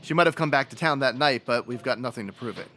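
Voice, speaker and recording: An actor playing a matter-of-fact police sergeant in an old-time radio detective drama, with a dry, procedural, folksy way of talking. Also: male voice, mid-range voice, fast talker, bright, forceful tone, a little hiss or hum.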